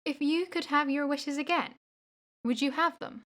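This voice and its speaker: An actress plays a teasing young daughter in a radio drama. The voice is high and bright, with a pert, playful manner and plenty of cheek. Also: female voice, steady tone, moderate pace. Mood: curious. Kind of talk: radio play acting.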